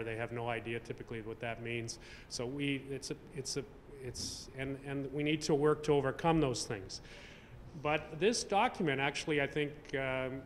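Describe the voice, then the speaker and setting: A man's voice: mid-range voice, fairly bright tone. A middle-aged man from Canada giving a conference speech at a podium, hesitant, with many fillers and restarts. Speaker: convention speaker. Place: hotel conference room. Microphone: podium microphone. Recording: reverberant hall, faint room noise.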